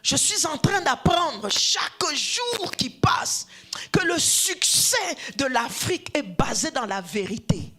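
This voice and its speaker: A woman whose first language is Swahili, preaching a sermon, dropping to hushed whispers and then rising to shouts, repeating phrases for emphasis. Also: high-pitched, big pitch swings, slow, soft background music. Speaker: preacher, addressed as apostle.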